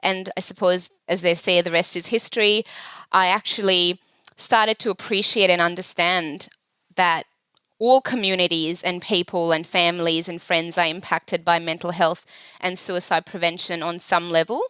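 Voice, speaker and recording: A woman from Sri Lanka in her thirties speaks as a podcast guest, earnest and explanatory. Her voice sits low and sounds smooth and resonant. The sound is close and dry, with no room around it. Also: steady tone, moderate pace, sharp tone, dry recording. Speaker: podcast guest, charity chief executive. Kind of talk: conversational interview answers.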